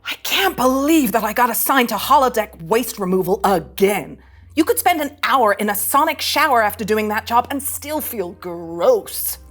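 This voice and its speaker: A woman voicing a cocky, mischievous young female starship ensign in a scripted animated sci-fi read, flippant and teasing. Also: on the high side, moderate pace. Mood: griping, insistent. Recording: music under the voice.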